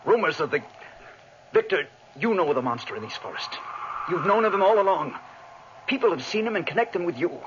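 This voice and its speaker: Quick, earnest, worried friend. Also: male voice, sits high, lively intonation. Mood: concerned. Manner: earnest, urgent. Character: worried, loyal male friend of a scientist. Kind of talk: 1940s radio acting. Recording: dull, noisy 1940s radio recording.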